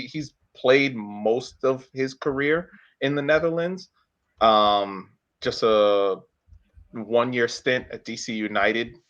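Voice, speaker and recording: Deep-voiced man, halting casual sports talk. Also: a bit bright, muffled recording. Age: 30s. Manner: halting, searching for words. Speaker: podcast host. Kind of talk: casual sports talk, recounting a player's career.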